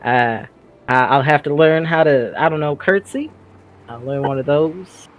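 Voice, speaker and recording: A man's voice: a little high, measured pace, dull audio, a little hiss or hum, lightly acted. A man playing an awkward, earnest young sidekick in a tabletop audio drama, hesitant and rambling as he talks. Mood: hopeful, rambling. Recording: music behind the voice.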